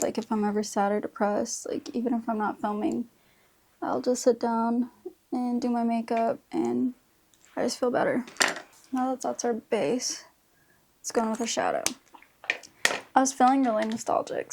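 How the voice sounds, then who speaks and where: Female vlogger, mid 20s. Higher-pitched, mellow voice with fry, informal and chatty. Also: a little hiss or hum. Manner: informal, slangy speech with frequent "like". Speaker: beauty vlogger. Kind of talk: casual chatty makeup tutorial.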